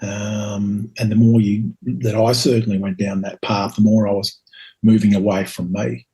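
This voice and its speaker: A Scottish man in his fifties, a guest telling his life story in a reflective, hesitant way with many pauses and ums. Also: fairly low pitch, flat delivery, dark tone, poor audio. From Scotland. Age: 50s.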